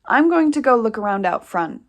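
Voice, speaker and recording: A woman's voice: on the high side, sing-song, normal speed. A woman acting a practical, matter-of-fact sister of few words in an audio play. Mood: practical, cautious. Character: practical, matter-of-fact sister helping search a derelict family home. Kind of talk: naturalistic audio play dialogue.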